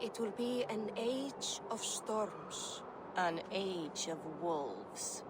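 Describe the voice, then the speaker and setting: The solemn chanting Norns. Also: female voice, medium pitch, expressive, very noisy. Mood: ominous. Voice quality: slow, solemn, ethereal. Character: three ancient female Norns chanting prophecy. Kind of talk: video game cutscene acting.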